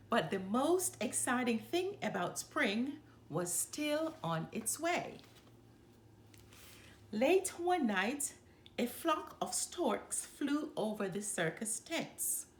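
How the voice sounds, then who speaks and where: Slow, highly animated woman storyteller. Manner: deliberate, expressive delivery. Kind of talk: scripted children's storybook read-aloud.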